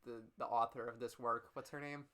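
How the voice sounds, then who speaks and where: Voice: medium pitch, steady tone, fairly quick, fairly bright tone. A guest commentator in casual comic banter, joking and swearing with a wry manner.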